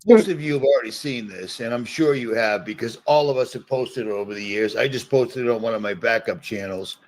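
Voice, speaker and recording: A man's voice: mid-range voice, a little fast, a little mellow, rough recording. A man in his fifties from the United States, hosting a live reaction stream and ranting in a conspiratorial, repetitive way. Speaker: host.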